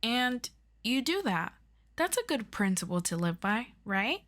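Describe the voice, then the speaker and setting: A woman playing an earnest, eager superhero best friend in an audio roleplay, tutoring the listener in a halting, casual, self-deprecating way. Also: a little high, very animated, fairly bright tone. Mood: earnest, explaining.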